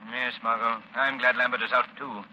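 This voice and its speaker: An actor playing a suave, determined amateur sleuth in a 1940s radio drama, explaining things with crisp assurance. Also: male voice, mid-range voice, flat delivery, moderate pace, bright, forceful tone. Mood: grave, assured. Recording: dull, faintly noisy old radio recording.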